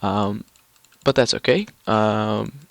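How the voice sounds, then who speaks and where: A man's voice: fairly low pitch, slow pace, vocal fry, dry recording. Stuffy-nosed young man narrating gameplay. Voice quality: nasal. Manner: rambling with many ums and restarts. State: stuffy nose from a cold. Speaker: gaming YouTuber. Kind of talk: casual gameplay commentary.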